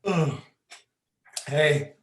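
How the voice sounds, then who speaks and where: A man's voice: a little high, expressive, very slow, fairly bright tone, reverberant, heavily acted. A man playing a leering, sadistic twin brother in a horror play, mocking and taunting. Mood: sly, casual. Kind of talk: dramatic livestream script reading.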